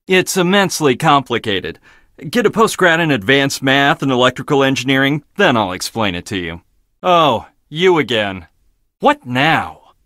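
A man's voice: medium pitch, sharp tone, no echo. Snippy young male scientist in a game, sardonic and clipped, dry close sound, lots of swing in the voice. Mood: irritated. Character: curt, prickly, condescending young male scientist. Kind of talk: video game character dialogue.

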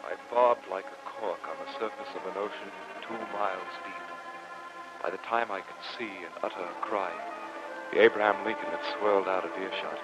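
An actor playing an earnest, formal professor who narrates a sea adventure in old-time radio drama style. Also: male voice, low-pitched, fairly even intonation, a little fast, lightly acted. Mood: grave narrating. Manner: formal, theatrical, dramatic delivery. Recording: muffled old radio recording, music underneath.

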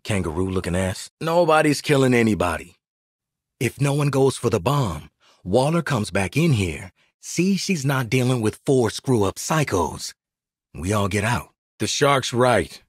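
An actor voicing a blunt, impatient assassin in a video game, sarcastic and commanding, with an American accent. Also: male voice, mid-range voice, big pitch swings, measured pace, husky, no echo, studio quality. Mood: irritated, sardonic. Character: gruff, sardonic, impatient adult male assassin. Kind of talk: video game character dialogue.

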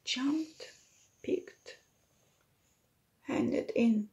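A woman's voice: sits high, very slow, gravelly, mellow, dull audio. A woman in her thirties or forties reading a picture book to children as a teacher, with simple explanatory asides.

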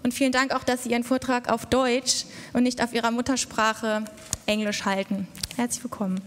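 A woman's voice: on the high side, level tone, moderate pace, background noise. Chatty moderator woman in a reverberant hall. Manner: chatty, with filler sounds. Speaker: event moderator. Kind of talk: introducing a speaker. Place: large dark stage hall. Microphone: stand mic.

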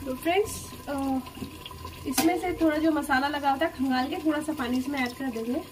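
A woman with a high voice walks her viewers through a recipe step by step in a chatty way. She is recorded on a phone over the stove in a home kitchen. A noisy recording leaves kitchen sounds behind her. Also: a little fast. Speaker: cooking channel host. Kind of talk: step-by-step cooking demonstration.

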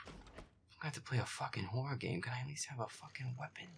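A man's voice: medium pitch, fast, vocal fry, background noise. A man playing a feisty, irritable werewolf boyfriend, muttering sarcastic, sweary commentary over a horror game. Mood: exasperated.

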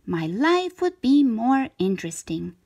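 A restless little cartoon shape from a picture book, as a woman reader puts it on, always wanting more. It speaks in slow, emphatic, drawn-out words with animated, swooping pitch and a breathy edge. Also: heavily acted. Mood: wishful.

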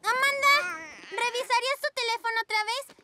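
A performer voicing an excitable, tender little female pug puppy in a Latin American Spanish cartoon dub, childlike and earnest. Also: very high-pitched, fairly quick. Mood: eager. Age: young child character. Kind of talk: preschool cartoon dubbing in Spanish. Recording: music under the voice.

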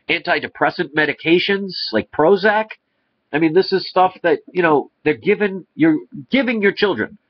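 A man in his thirties with a stuffy, congested nose, talking earnestly to a webcam in his living room. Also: on the high side. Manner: earnest, rambling with restarts. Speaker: vlogger. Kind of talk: casual talk to camera. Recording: slightly dull lo-fi webcam audio.

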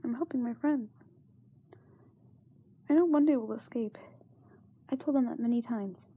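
A young woman playing a frightened, self-doubting young singer in a halting diary monologue, often dropping to a whisper. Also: a little high, breathy edge, lo-fi sound. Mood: weary, downcast. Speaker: amateur voice actor. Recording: noisy makeshift amateur microphone recording.

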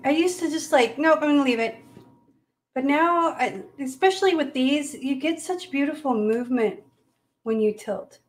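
A woman's voice: high-pitched, normal speed. A woman from Minnesota with a Minnesota accent, chatting casually and playfully as she hosts a live painting demonstration. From United States, Minnesota. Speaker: host, painter. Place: indoor art studio at a paint-covered worktable.

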